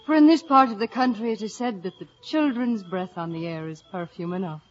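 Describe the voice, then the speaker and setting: An actress playing a dreamy young Irish village daughter in a 1950s radio drama, with a lilting delivery. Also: female voice, medium pitch, fairly quick, lightly acted. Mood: dreamy, romantic. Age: young adult. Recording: muffled old radio recording.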